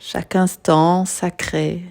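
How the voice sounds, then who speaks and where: Very slow, mellow woman guiding meditation. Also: lowish voice, level tone, creaky, soft background music. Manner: soothing, unhurried, with long pauses. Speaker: yoga nidra guide. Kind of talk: guided meditation.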